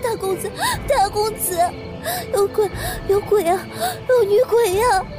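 A woman's voice: extremely high pitch, measured pace. A woman acting a timid, frightened young servant girl in an audio drama, whimpering, stammering and pleading. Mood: panicked crying. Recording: music behind the voice.

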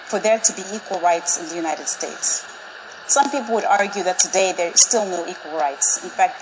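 A woman in her forties, from Zambia, gives a prepared public talk in a measured, persuasive and emphatic way to a live audience. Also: mid-range voice, noisy background. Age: 40s. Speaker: speaker. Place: large auditorium with live audience.

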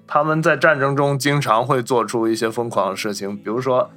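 A man's voice: mid-range voice, fairly even intonation, a little fast, a bit bright. A man hosting a casual film discussion, telling anecdotes in an explanatory, conversational way. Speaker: podcast host.

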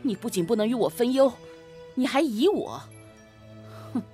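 A woman acting an exasperated young gentleman husband in a period audio drama, petulant and self-righteous. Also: fairly high pitch, lively intonation, moderate pace, faint music behind, natural voice. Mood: indignant.

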